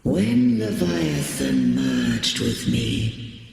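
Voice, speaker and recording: Gravelly, echoing ancient godlike being. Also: male voice, a bass voice, wildly swooping pitch, a little mellow, full character voice. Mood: solemn, grave. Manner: archaic, grandiose, measured speech. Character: ancient solemn godlike sentinel being, Imperator. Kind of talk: video game voice acting. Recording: cavernous reverb, music underneath.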